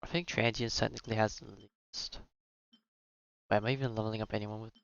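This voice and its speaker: A man giving casual live-stream commentary on a video game, thinking out loud and reading game text in muttered asides. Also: on the low side, fairly even intonation, a little fast, creaky, highs cut off.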